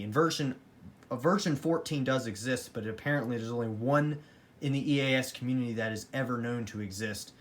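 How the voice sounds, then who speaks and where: A man's voice: medium pitch, a bit bright. A man giving a casual, rambling product review in a matter-of-fact, opinionated way, as a hobbyist showing a collection. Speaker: hobbyist collector presenting weather radios.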